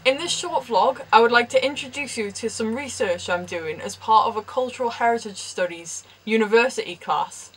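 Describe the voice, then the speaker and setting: A woman's voice: a little high, moderate pace, hardly any pauses, slightly rough, poor audio. A young woman from Newcastle with a Geordie accent, a university student explaining her research in a chatty vlog with dry humorous asides. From Newcastle, England.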